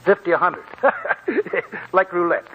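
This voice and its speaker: An actor playing a talkative, wry old gold prospector in a 1940s radio drama, raspy and folksy, rambling like a storyteller. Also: male voice, sits high, sing-song, unhurried, slight background noise. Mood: sly, animated. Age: older. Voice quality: chuckling, raspy old man's voice. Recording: muffled 1940s radio broadcast with music.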